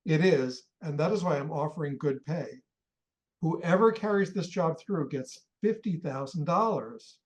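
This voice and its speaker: A woman's voice: deep voice, a little slow, darkish tone. A woman acting a blunt, shrewd and commanding rich employer in a radio play. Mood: brisk, approving.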